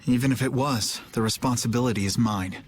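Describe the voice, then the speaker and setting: A man's voice: mid-range voice, lightly acted. Man playing an earnest, dutiful young knight. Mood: serious, resolute. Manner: serious, formal, measured. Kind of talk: dramatic video game voice acting. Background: background music.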